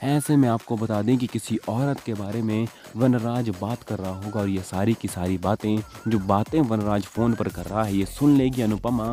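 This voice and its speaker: A man's voice: on the low side, mellow. A man delivering a scripted voice-over in the manner of TV gossip news, repeating points to build suspense. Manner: repetitive, suspense-building recap. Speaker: channel narrator of TV serial gossip. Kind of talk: scripted voice-over narration of soap spoilers. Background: background music.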